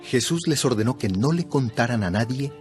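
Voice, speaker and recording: Male scripture narrator over soft music. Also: mid-range voice, expressive, moderate pace. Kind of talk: scripted narration of a dramatized audio Bible. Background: background music.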